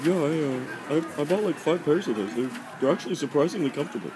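A man playing a hesitant, sheepish dining companion in a comedy radio play, speaking haltingly amid restaurant chatter. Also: on the high side, animated, close to an everyday voice. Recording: busy restaurant chatter around the voice.

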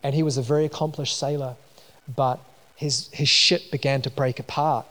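A man's voice: medium pitch, steady tone, moderate pace, a bit bright. A man from New Zealand with a New Zealand accent, preaching a sermon in a conversational way, with many fillers and restarts. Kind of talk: sermon with personal story. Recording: long hall reverb.

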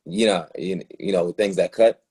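Casting: man to play wry male singer from Brooklyn for a play reading; very low, rough-edged husky voice, brief deadpan replies. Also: moderate pace.

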